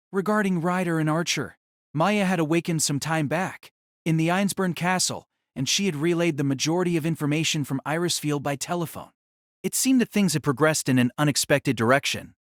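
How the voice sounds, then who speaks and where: A man reading scripted, dramatic novel narration as an audiobook narrator, in a measured, formal way. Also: a little high, dry recording, clean studio sound.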